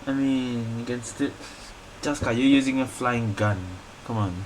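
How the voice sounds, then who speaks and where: A woman's voice: rumbling low, steady tone, unhurried, darkish tone, poor audio. A woman streamer giving casual playthrough commentary in fragmented, muttered reactions, with room noise behind her. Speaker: gaming streamer.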